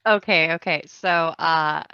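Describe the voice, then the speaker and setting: A woman's voice: low-pitched, slow pace, bright, forceful tone, clean tone, no echo, muffled recording. A woman guesting on a podcast, chatting informally and enthusiastically. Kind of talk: casual podcast chat.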